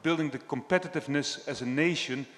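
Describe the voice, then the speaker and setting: A man's voice: a little high, fast. Man chairing a panel in a hall. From Netherlands. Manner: relaxed and chatty, frequent ums. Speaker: session chair. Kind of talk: conversational panel hosting. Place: large hall on stage. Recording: echoey hall with long reverb.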